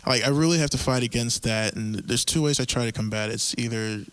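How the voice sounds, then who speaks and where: A man hosting a casual group conversation, talking in a halting way with many fillers and easy laughs. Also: medium pitch, a bit bright, smooth, no echo, rough recording. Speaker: podcast host.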